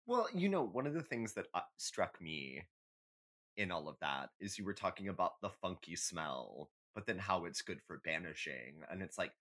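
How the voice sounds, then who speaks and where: A man co-hosting a podcast in casual, animated banter, joking as he goes. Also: sits low, normal speed. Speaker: podcast co-host.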